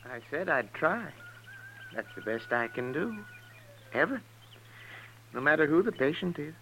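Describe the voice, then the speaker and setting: High, animated, fussy frontier doctor. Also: male voice, unhurried, full character voice. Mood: hedging, earnest. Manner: hesitant, folksy, muttering exclamations. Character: folksy, fussy, crusty frontier town doctor, man. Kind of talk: 1950s western radio drama acting. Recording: noisy vintage radio recording.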